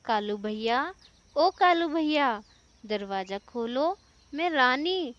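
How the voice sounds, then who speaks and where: Woman as a high-voiced, worried mother bird. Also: expressive, takes it slowly, a bit bright, highs cut off, heavily acted. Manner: tender, earnest. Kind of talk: children's cartoon story acting.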